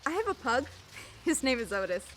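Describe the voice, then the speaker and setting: A chatty divorced neighbor woman, voiced by an actress with a fairly high voice, laughing as she talks and poking fun at herself. A radio-play performance with faint noise underneath. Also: very animated, a little fast, a bit bright. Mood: candid. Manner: chatty, laughing often, self-mocking.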